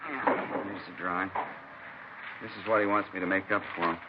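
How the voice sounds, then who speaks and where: An actor playing a plainspoken, wary old-hand machinist in a 1950s radio drama, with a folksy, clipped delivery. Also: male voice, deep voice, steady tone, moderate pace, natural voice. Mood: wary, musing. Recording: muffled, noisy old radio broadcast.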